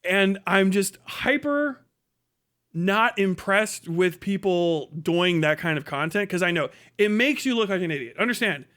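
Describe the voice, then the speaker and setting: A man's voice: sits high, expressive, measured pace, bright, forceful tone. A man giving casual livestream commentary in a blunt, profane, rambling way. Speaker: livestream host.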